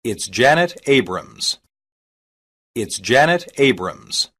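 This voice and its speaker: A reader saying short English practice sentences slowly and evenly, each one twice, for a language lesson. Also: medium pitch, sing-song, fairly bright tone, husky. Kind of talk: slow repeated phrase reading.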